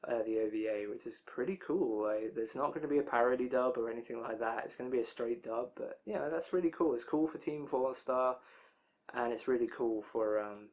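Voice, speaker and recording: A man's voice: medium pitch, fairly quick, darkish tone, a little hiss or hum. A young man with a tired, worn-out voice, rambling casually through an anime release news roundup with loose asides.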